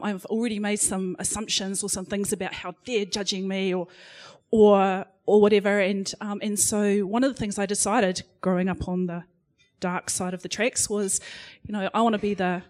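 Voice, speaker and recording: A woman's voice: mid-range voice, a little mellow. Frank middle-aged New woman. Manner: frank, self-deprecating, many fillers and restarts. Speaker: panelist. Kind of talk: candid personal talk on a live panel. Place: live panel event before an audience.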